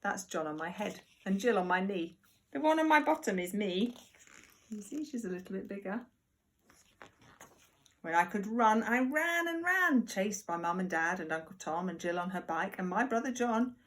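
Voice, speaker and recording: A woman reading a picture book aloud to young children like a primary teacher, expressive and deliberate, with chatty asides. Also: mid-range voice. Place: at home.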